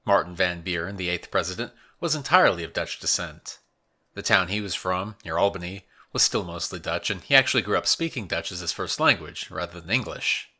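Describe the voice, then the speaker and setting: A man hosting a history podcast, reading a scripted narration in a measured, explanatory way. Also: sits low, pushed and bright.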